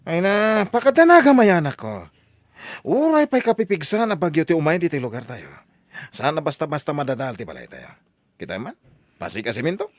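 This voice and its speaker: A man playing a high, lively, teasing husband. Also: brisk, no room sound. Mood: dismissive. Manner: boastful, teasing. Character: stubborn, joking husband and father. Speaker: voice actor. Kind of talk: radio drama acting.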